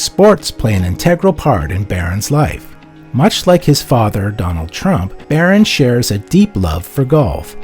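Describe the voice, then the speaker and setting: Lively male documentary narrator. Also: mid-range voice. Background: faint background music.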